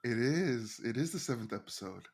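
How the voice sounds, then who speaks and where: A man co-hosting a podcast in casual chat, excitable and self-deprecating, quick to laugh. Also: mid-range voice, gravelly. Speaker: podcast co-host.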